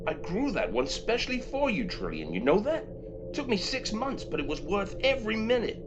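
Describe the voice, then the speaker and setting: A man's voice: high-pitched, huge pitch swings, moderate pace. A man playing a cocky, impatient spaceship rogue in an amateur audio drama, swaggering, slangy and easily exasperated. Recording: dull lo-fi audio, background noise.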